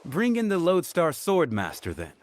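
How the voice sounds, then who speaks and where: Composed young diplomat with lively intonation. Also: male voice, a little high. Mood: confident, persuasive. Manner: measured, articulate, deliberate. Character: composed, shrewd young male diplomat, quietly confident. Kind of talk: dramatic game dialogue.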